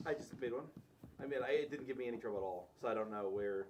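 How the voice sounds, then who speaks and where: A man's voice: mid-range voice, fast, a little hiss or hum. A man chatting casually on a livestream in a laid-back way. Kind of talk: casual chat.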